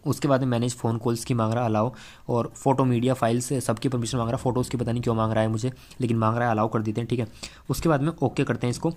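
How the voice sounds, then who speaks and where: A man's voice: medium pitch, fairly even intonation, very fast, darkish tone. A man, explaining tech in a conversational, step-by-step way as a channel host. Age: 20s. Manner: conversational, explains step by step with frequent tag phrases. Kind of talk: explanatory tech vlog to camera and screen tutorial. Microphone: clip-on lapel mic.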